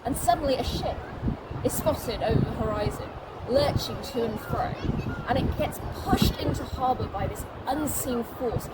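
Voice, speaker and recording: A woman's voice: a little high, expressive, moderate pace. Woman doing outdoor travel vlog, theatrical storyteller, really noisy street sound behind her. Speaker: presenter, audio drama writer and director. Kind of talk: on-location travel presenting. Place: outdoors in a seaside town. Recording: loud outdoor noise, traffic, sometimes distant.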